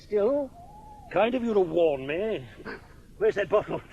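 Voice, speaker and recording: An actor playing a dissolute, drunken village squire in a British radio drama, with an upper-class English accent and a mocking, pompous manner. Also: male voice, high-pitched, very animated, measured pace, dull audio, heavily acted. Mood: scornful, amused.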